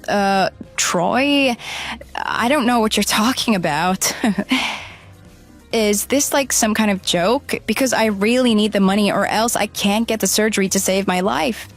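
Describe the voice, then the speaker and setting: A woman's voice: mid-range voice, a little slow, soft background music. Flustered, defensive runaway bride, acted by a woman. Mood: nervous pleading. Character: deceitful runaway bride, pleading, defensive and indignant. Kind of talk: dramatized phone dialogue.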